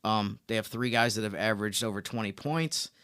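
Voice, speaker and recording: A man talks sports as a podcast co-host, with a smooth, clean voice of average pitch. His delivery is conversational and explanatory. The recording is tight and dry. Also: brisk, a bit bright, no room sound.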